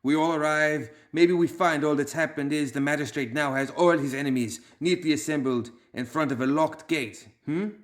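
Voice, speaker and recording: A man's voice: fairly high pitch, normal speed, few pauses, pitched above the performer's own voice, natural voice. A man voicing a curt, growling male leader with a hard Balkan accent. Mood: stern.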